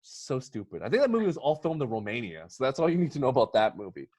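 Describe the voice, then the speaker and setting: A man hosting a casual movie podcast, talking excitably and emphatically with a theatrical, joking streak. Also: medium pitch, quick pace. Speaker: podcast host.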